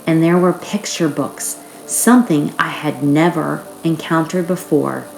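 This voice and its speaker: Low-voiced woman reading in echoey room. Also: a little slow, creaky, slight background noise. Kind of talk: reading a first-person author's note aloud. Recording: roomy echo, faint music behind.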